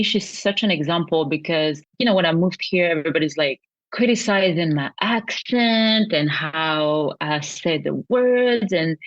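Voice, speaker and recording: A woman's voice: sits low, dry recording, muffled recording. A woman, a musician guest chatting casually, searching for words and laughing easily. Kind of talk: casual interview chat.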